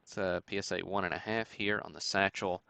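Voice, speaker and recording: Man, deep voice, creaky fry, livestream host, matter-of-fact, halting delivery, close dry sound. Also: steady tone, pushed and bright, no echo. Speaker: host of a sports card break stream. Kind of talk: live show-and-tell commentary on vintage cards.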